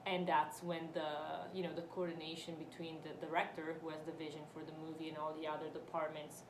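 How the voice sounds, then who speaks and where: A woman's voice: deep voice, monotone, fast talker. A woman from Italy speaking English with an Italian accent, chatting animatedly as an interview guest, with frequent restarts and easy laughter. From Italy (Vicenza, Veneto). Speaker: guest, actor and film producer. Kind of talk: casual interview chat. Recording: echoey room with noticeable background noise.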